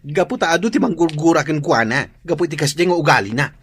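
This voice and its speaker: An actress as a feisty, animated village woman. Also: deep voice, fast. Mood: scolding. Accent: Ilocano. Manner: melodramatic, scolding. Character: feisty, resentful village woman who feuds and scolds. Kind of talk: comedic radio drama acting.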